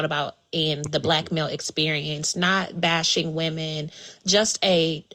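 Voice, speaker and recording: An American woman, a podcaster, giving a casual shout-out in a voice message. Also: low-pitched. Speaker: podcaster leaving a voice note.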